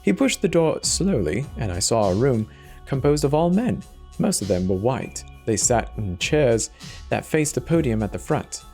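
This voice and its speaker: Male horror narrator over clear music. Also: mid-range voice, very animated, moderate pace, a little mellow. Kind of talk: dramatic scripted narration.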